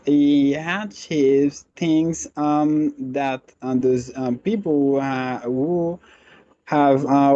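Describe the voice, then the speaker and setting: Hesitant slow man on a muffled call. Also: medium pitch, mellow, dry recording. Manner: hesitant, many fillers and restarts. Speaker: student. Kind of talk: class discussion answer. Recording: muffled lo-fi online call audio.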